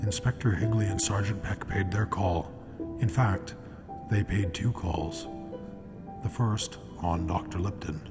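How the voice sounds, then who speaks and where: An actor as an ominous, knowing true-crime storyteller, narrating a radio mystery with wry, deliberate phrasing. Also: male voice, sits low. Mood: wry foreboding. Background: music.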